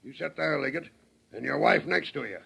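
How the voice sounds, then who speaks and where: A gruff frontier lawman from an old radio western. His voice is high, husky and full of gravel, swinging widely as he blusters and gives orders in a Western American accent. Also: animated, brisk, bright, forceful tone, exaggerated voice. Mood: angry, commanding. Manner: blustering, rustic folksy grammar. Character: gruff, bullying Old West sheriff barking questions. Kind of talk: 1930s radio western acting. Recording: old 1930s radio recording.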